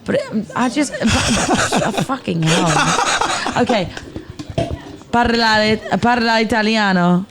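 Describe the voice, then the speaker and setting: A woman's voice: on the high side, very animated, slow pace, very noisy. A playful woman from England in casual chat, swearing freely and slipping between languages as she teaches phrases. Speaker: podcast guest, English teacher. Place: rooftop hostel bar. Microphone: podcast microphone with headphones. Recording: loud music behind the voice.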